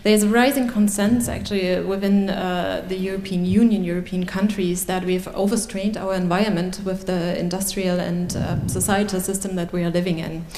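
A woman speaking as a researcher on a panel, explaining policy in a careful, measured, formal way. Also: fairly low pitch, steady tone, mellow. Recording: echoey room with clear background noise.

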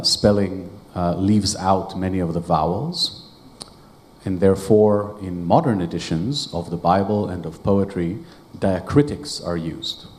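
A man whose first language is Hebrew, explaining a point in a measured, detailed way from the floor of a conference Q&A in a meeting room. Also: deep voice, a little mellow. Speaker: audience member asking a question.

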